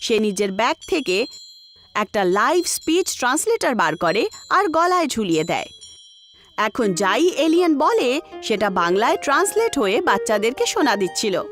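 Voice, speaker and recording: A woman's voice: a little high, very animated, moderate pace, fairly bright tone, soft background music. A woman narrator reading a scripted children's story.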